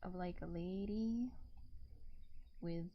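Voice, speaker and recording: A soft-spoken woman hosting a casual ASMR art livestream, rambling gently to viewers into a close binaural microphone. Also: on the low side, takes it slowly, muffled recording. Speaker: livestreaming artist and host. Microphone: close binaural ear microphone. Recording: binaural ASMR mic with background noise.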